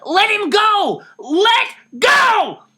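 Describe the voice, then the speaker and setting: Reader as a pleading father, urgent raised voice, lively pitch. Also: takes it slowly, creaky, husky, full character voice. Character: father, man, pleading to stop a fight.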